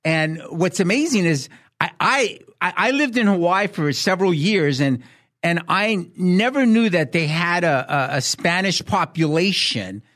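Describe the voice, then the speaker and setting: A man hosts a talk show interview in a voice that sits high for a man. He is effusive and reacts to his guest with bursts of praise and delight. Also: lively intonation, unhurried, a bit bright. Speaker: host.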